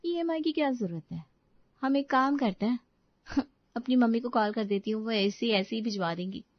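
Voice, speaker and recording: Woman doing a high whiny entitled daughter in a moral story, pushy, bit dull sound. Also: lively intonation, normal speed, natural voice. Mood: wheedling. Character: entitled, demanding married daughter, wheedling and boastful. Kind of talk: animated moral story voice acting.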